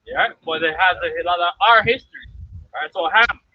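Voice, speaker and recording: A man on a city street, reading aloud slowly and deliberately from a reference book for his group. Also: high voice, muffled recording, slight background noise.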